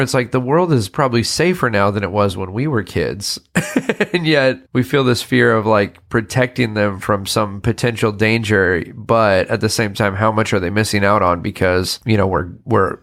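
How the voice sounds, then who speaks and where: American man with a creaky voice. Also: lowish voice, moderate pace, fairly bright tone. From United States. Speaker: podcast host, interviewer. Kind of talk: casual conversational interviewing.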